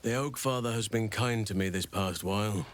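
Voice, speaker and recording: An actor playing a gentle, wise old druid man in a fantasy video game, speaking in formal, measured, earnest sentences. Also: lowish voice, slight background noise, close to an everyday voice. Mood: warm, longing. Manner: formal, measured, eloquent speech.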